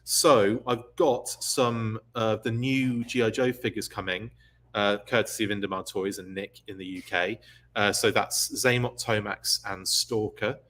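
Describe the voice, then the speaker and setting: Lowish-voiced man, chatty and rambling. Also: steady tone, measured pace, a bit bright. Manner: casual, rambling, many ums and uhs. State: losing his voice. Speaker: podcast host. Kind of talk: live-stream news recap.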